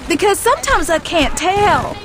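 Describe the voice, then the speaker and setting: A woman's voice: very high voice, very animated, moderate pace. An actress playing a brash, foul-mouthed female gang boss in a video game, defiant and irreverent. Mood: woozy. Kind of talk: video game cutscene acting. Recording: noisy game soundtrack with vehicles.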